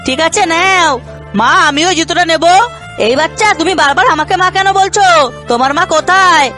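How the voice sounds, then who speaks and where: A woman's voice: very high voice, fairly quick, bright, forceful tone, soft background music. A woman voicing an earnest, youthful character in a children's cartoon.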